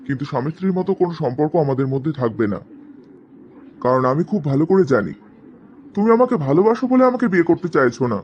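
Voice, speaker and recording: A man's voice: on the high side, animated, fast, a little mellow, dull audio, slight background noise, natural voice. A man playing a proud young rich bridegroom in a cartoon drama, speaking coldly and bluntly. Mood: cold, scornful.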